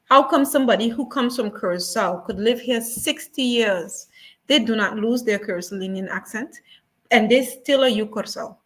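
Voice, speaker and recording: A woman's voice: on the high side, normal speed. A woman speaking as a guest on a talk show, earnest and repeating her points for emphasis. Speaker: museum guest. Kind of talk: casual talk show discussion.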